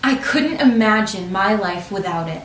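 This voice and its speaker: A woman reading a picture book aloud in an expressive, deliberate storytelling manner for children. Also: mid-range voice, a little hiss or hum. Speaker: storybook reader, teacher. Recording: roomy echo, distant microphone.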